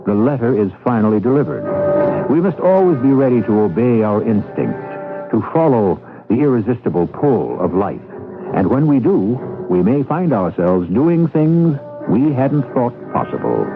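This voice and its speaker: A man's voice: mid-range voice, very animated, moderate pace. A man hosting a radio drama with dramatic, reflective scripted narration. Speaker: host. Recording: narrow vintage radio recording with music.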